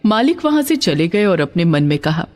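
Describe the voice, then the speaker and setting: A woman reading a scripted story as narrator, with a dramatic, expressive delivery. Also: fairly low pitch, normal speed.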